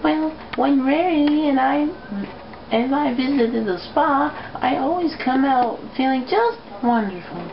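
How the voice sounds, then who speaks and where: Woman voicing a hesitant, high, gentle cartoon pegasus pony. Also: lively intonation, a little slow. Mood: gentle concern. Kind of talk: amateur fan fiction read-aloud. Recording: muffled, noisy home recording.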